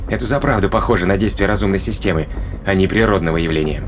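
Male crewman, played by an actor: low-pitched, brisk, sardonic explainer for sci-fi audio drama, colloquial, ambient noise underneath. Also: close to an everyday voice. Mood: wry, explanatory.

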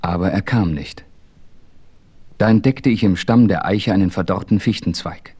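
A calm, self-assured western adventurer telling his own tale. The voice is low, and the delivery is measured and composed, in the manner of a dramatic radio play. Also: slightly rough.

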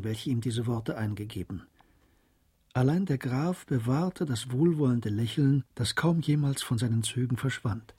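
A man's voice: medium pitch, warm tone, breathy edge. A man narrating a German radio drama as a composed literary storyteller. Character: composed storyteller of a period novel.

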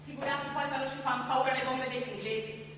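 An actress playing a haughty, nosy fascist neighbour in a wartime stage drama, speaking with a Tuscan accent in a pompous, insinuating, emphatic way. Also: female voice, high voice, fairly even intonation, fast talker, a bit bright. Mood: pompous, indignant. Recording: echoey noisy hall, miked from far off.

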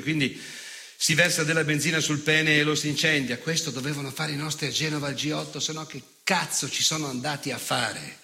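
Stage monologue: unrepentant fascist nostalgic, gloating and bitter, piercingly tense, higher-set male voice, emphatic and obsessive. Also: pushed and bright. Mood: cold contempt. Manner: emphatic, obsessive, sardonic recitation with repeated words. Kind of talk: theatrical monologue.

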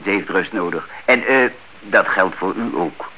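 A dull old radio drama recording with faint noise. a male family doctor with a low, bright, forceful voice. He is affable and drily humorous, humming and hawing as he goes. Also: moderate pace. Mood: dryly amused. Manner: hemming, affable, dry.

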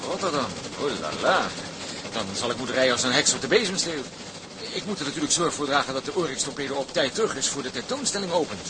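A man's voice: mid-range voice, lively intonation, fast talker, close to an everyday voice. A man playing a breezy, flamboyant driver in an audio drama, chatty and obliging. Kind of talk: Dutch audio drama acting. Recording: clearly noisy, outdoor and vehicle ambience.

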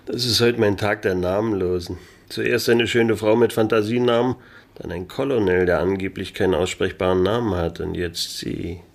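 A man's voice: fairly low pitch, fairly even intonation, moderate pace, vocal fry, no room sound. A man playing a wry, hard-boiled private detective in a noir radio play, with a dry, sardonic delivery. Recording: music under the voice. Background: music.

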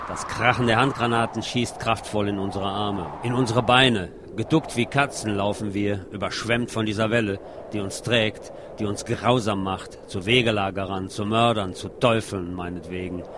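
A man reads literary prose aloud in a low-pitched voice. Clear urban noise, like passing vehicles, runs behind his reading. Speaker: reader. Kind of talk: literary reading of a war novel.

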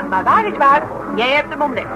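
An actress playing a brisk, cheeky young woman with breezy, teasing delivery in a naturalistic radio drama. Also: sits high, very animated, rough recording. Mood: teasing, amused. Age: young adult.

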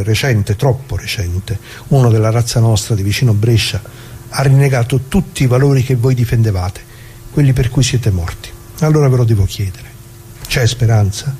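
An actor playing a dead retired working-class man, bitter and plain-spoken, addressing listeners in a halting, repetitive theatrical radio monologue. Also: mid-range voice, dark tone, a little hiss or hum, lightly acted. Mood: urgent, questioning. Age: older.

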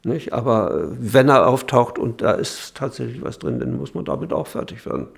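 Man, gravelly mid-range voice, thinking aloud hesitantly as an interviewee. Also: mid-range voice, lively intonation, normal speed. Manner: hesitant, thoughtful. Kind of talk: spontaneous interview speech.

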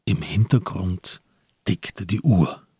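Casting: male radio drama narrator, lowish and heavily husky, grave and measured, reading in sparse phrases. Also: warm tone, dry recording, clean studio sound.

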